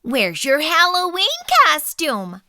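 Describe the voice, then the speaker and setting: A woman voicing a playful mother cat who gently teases and reassures in a children's storybook. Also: high voice, very animated, takes it slowly, no room sound, studio quality, pitched above the performer's own voice, full character voice. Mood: reassuring.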